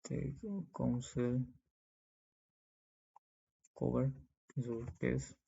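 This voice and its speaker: A man teaches from scripture in a gravelly, creaky voice. He keeps a fairly flat, mid-pitched line as he reads verses and explains them, adding short check-in tags. The recording is dull and lo-fi. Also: very slow. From Peru. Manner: didactic, punctuated with check-in tags like "okay" and "right". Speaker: preacher, Bible teacher. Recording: dull, lo-fi sound.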